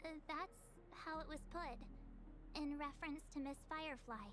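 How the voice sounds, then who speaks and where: A woman's voice: sits high, moderate pace, music in the background, lightly acted. A woman voicing an earnest young girl in a video game, recounting things in a youthful voice. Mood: earnest, worried.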